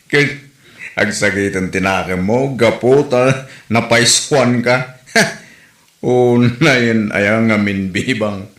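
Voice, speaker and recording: A mocking, needling fellow played by a man in a comedy drama, speaking slowly with an Ilocano accent. He teases in a mid-range chuckling voice that echoes in the room. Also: mid-range voice, fairly bright tone. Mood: mocking, amused. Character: teasing, mocking man scoffing at a show-off's bag. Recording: roomy, echoing sound.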